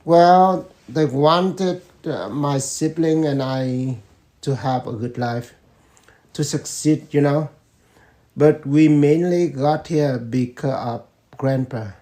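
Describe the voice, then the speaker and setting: A man, answering family interview questions in slow, measured phrases with pauses. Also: fairly high pitch, dark tone. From Vietnam. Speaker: interviewee, father.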